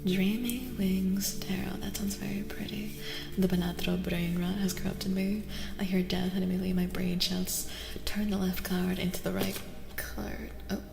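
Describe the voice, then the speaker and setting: A soft-spoken woman hosting a tarot stream in a casual ASMR style, often dropping into a whisper. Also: medium pitch, level tone, moderate pace, vocal fry. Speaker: streamer host giving tarot readings. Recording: reverberant echo, music behind. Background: music.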